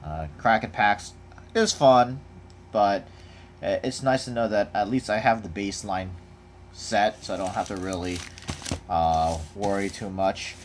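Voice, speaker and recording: Casting: young man, deep and unhurried, easygoing unboxing chatter, background hiss and crinkling. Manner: rambling, relaxed, many fillers. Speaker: card collector unboxing. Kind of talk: casual unboxing commentary. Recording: noisy home recording. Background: crinkling of packaging.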